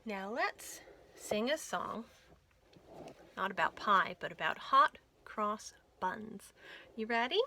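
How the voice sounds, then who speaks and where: A woman reading a picture book aloud for young children, expressive and deliberate, with dramatic emphasis. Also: mid-range voice, gravelly. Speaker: children's librarian reading storytime.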